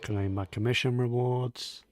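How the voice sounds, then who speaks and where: A man casually commenting on his own gameplay, low-key and muttering to himself as he plays. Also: steady tone, a little slow. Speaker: gaming channel host.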